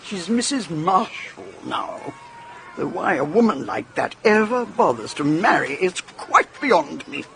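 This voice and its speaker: A blunt, sporty English spinster of middle age in a radio drama. Her low female voice is gravelly and lively, brisk and wry, over a noisy background. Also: exaggerated voice.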